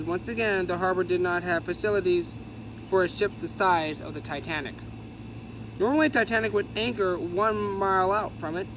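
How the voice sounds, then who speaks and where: A man's voice: high voice, background noise. A man reading a history book aloud, stumbling and correcting himself casually as he goes. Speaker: reader.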